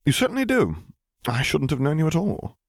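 A man's voice: fairly high pitch, sing-song, brisk, vocal fry, dry recording, pitched above the performer's own voice, heavily acted. An older male admiral, as voiced by a male storybook reader, courteous and reserved. He speaks formally and a little hesitantly, with polite bemusement, in a close, dry recording. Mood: bemused.

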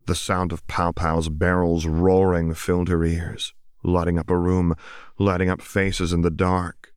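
A man's voice: deep voice, little pitch movement, measured pace, clear, resonant tone, no room sound, clean studio sound. A man reading scripted fiction as a dramatic audiobook narrator.

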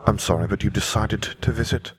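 A man voicing Death as a grave, worried otherworldly figure speaking in a flat, solemn way. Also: fairly low pitch, brisk, husky. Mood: flat disbelief.

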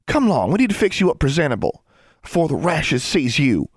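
A prim teenage country girl played by a male narrator fusses. The pitch is pushed well up and swoops about as she scolds, in a dry audiobook recording. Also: sing-song, normal speed, dry recording. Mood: stern. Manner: shocked, fussing, folksy.

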